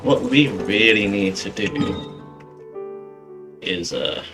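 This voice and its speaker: A man giving casual, musing game commentary as a streamer, in a halting way. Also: fairly low pitch, music in the background. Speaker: gaming streamer.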